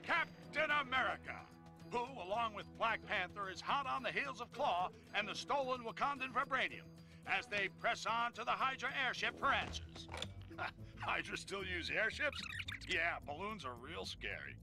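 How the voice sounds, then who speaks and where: A man's voice: high-pitched, big pitch swings, moderate pace, music in the background, rough recording. An actor playing a brash, sensational male news reporter with sarcastic asides. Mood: hyped. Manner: punchy, hyped, sarcastic. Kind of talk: news broadcast parody.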